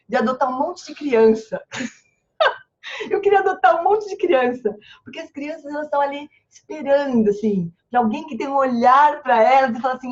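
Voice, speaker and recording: A woman leading an online dharma study group, slowly chanting long-life prayers and then telling stories in a lively, affectionate way. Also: sits high. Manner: slow recited chants and warm animated storytelling. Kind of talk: chanting prayers and informal teaching. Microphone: online call microphone. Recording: slightly muffled lo-fi sound.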